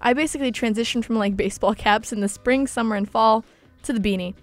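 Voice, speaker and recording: A young woman whose first language is Polish, hosting a casual college radio talk show with a bubbly, chatty and animated way of telling stories. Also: fairly high pitch, quick pace, faint music behind. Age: young adult. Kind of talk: casual chat.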